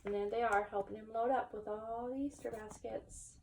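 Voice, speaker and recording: A woman's voice: fairly high pitch, fairly even intonation, normal speed, gravelly, recorded from a distance, background noise. A woman reading a children's picture book aloud expressively, with chatty asides to young listeners. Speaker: story time reader, teacher.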